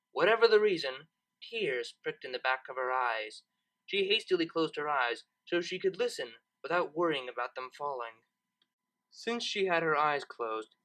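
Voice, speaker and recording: Guy reading a story out loud, bright voice, really animated, kinda high. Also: rough recording. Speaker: narrator reading fan fiction, channel host. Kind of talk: expressive storybook narration.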